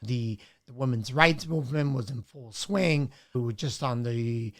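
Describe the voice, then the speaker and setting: A man in his sixties from Puerto Rico, raised in New York, reflecting on his life as an interview guest. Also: mid-range voice. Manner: measured, reflective, with many fillers. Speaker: guest artist. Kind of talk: reflective storytelling interview answers.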